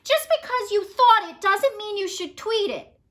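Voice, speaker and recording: Woman voicing a blunt, fed-up female vulture, bright and forceful. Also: very high voice, animated, a little slow, voice pushed up, full character voice. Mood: hurt, indignant. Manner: blunt, exasperated, emphatic.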